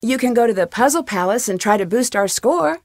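A woman's voice: on the high side, expressive, brisk, no room sound, studio quality. An actress playing a curious, upbeat young woman detective in an adventure game, thinking aloud in short scripted remarks. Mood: friendly.

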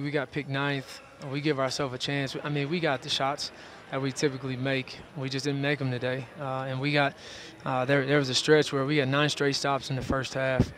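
Flat-voiced male coach on a headset. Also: medium pitch, fairly quick, slight background noise. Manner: flat, steady, repeats words often. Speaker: head basketball coach. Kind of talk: post-game interview. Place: arena courtside seats. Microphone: headset boom mic.

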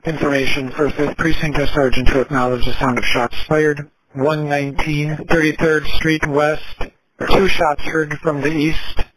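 Male police dispatcher, even clipped readbacks, noisy radio audio; steady voice for scanner audio. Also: on the high side, a little slow. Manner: clipped, repeats back each call.

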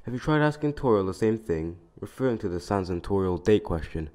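A man's voice: fairly low pitch, expressive, fairly quick, mellow. A man casually reading out fan questions for a comic dub.

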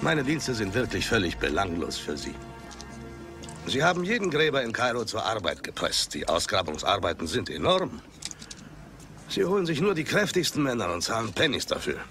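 An actor playing a hearty but wary Egyptian master digger, theatrical and emphatic, in a German film dub. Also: male voice, on the low side, big pitch swings, moderate pace, a bit bright, faint music behind. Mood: earnest.

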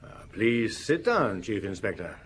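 An actor playing a defensive, smooth-talking salesman under suspicion in a radio drama, guarded in manner. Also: on the low side, very animated, measured pace. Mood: guarded politeness.

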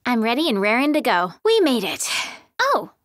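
A woman playing a cheerful, supportive teenage girl companion in a fantasy video game, with a perky, expressive delivery. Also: a little high, normal speed, no room sound, studio quality. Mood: cheering.

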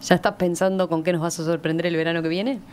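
A woman's voice: on the low side, steady tone, fast, a little mellow, a little hiss or hum. A woman, hosting a conversational radio interview from a studio. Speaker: radio host. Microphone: close studio microphone.

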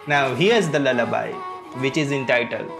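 A man's voice: medium pitch, moderate pace, gravelly, over music. A man hosting a reaction video, commenting casually in a hesitant, trailing way.

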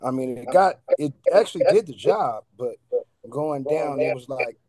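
A middle-aged man chatting casually as a radio guest, halting often in mid-sentence. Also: medium pitch, animated, dark tone, no room sound. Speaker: radio guest, cannabis strain reviewer. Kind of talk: casual chat.

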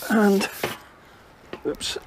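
A man's voice: very slow, noisy background. An older man casually explaining fishing steps outdoors on a riverbank, talking step by step. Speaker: angler and channel host.

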